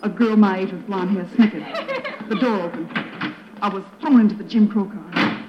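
A woman's voice: mid-range voice, normal speed, natural voice. Woman acting proud wounded young girl, earnest dramatic narration, vintage radio play, muffled and noisy. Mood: solemn, remembering. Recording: echoey, muffled, noisy old radio recording.

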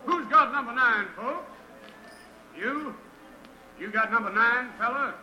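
An actor playing a brash carnival barker with showy sing-song patter, in the style of 1940s radio drama. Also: male voice, very high-pitched, slow pace, bright, forceful tone, reverberant, slight background noise, full character voice, low-quality recording. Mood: showy, excited. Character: brash male carnival barker running a wheel of chance.

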